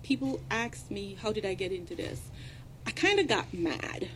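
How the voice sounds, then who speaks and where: A woman's voice: mid-range voice, big pitch swings, moderate pace, a bit bright, a little hiss or hum, poor audio. Composed woman with a Jamaican-British accent. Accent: Jamaican with British tones. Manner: composed and articulate. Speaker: guest, consultant and adjunct professor. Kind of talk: radio interview talk. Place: radio studio.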